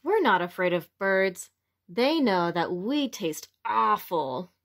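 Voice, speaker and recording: A cheerful little storybook caterpillar as read by a woman. The delivery is slow and very expressive, with lively swings in pitch and simple wording for small children. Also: creaky, heavily acted. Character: cheerful young caterpillar becoming a butterfly, storybook narrator.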